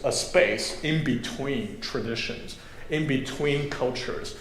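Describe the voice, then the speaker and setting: A man from Taiwan giving a conversational sermon as a guest preacher, pausing often with fillers. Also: fairly high pitch. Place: church sanctuary. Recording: echoey church room, faint ambience. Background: congregation.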